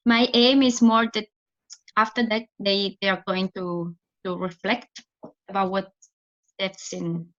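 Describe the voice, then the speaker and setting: Italian woman speaking English, lo-fi call. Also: fairly high pitch. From Italy. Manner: searching for words, frequent restarts, thoughtful. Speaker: theatre director, guest. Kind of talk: interview answers on a remote call.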